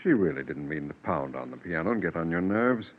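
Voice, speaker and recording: An actor playing a doting, indulgent father in a 1940s radio drama, gentle and cajoling. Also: sits low, expressive, brisk, phone-quality sound. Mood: placating. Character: doting, indulgent father and husband, soothing and affectionate. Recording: thin, band-limited 1940s radio recording.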